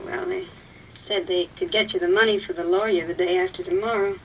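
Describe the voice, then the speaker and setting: A woman's voice: lowish voice, sing-song, brisk, a bit bright. A woman acting the part of a reluctant informant in a vintage radio police drama. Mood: soothing, solicitous. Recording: muffled, distant vintage radio with light noise.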